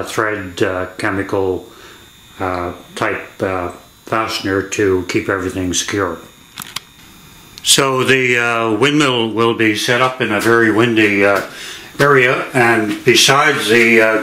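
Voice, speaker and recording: An older man explains a hands-on job in a fairly low voice with a clear, bright edge. He speaks haltingly and deliberately, matter-of-fact throughout. The garage around him gives the sound a strong room echo. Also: slight background noise. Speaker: DIY demonstrator. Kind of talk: hands-on how-to narration. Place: indoor garage workshop with hard floor.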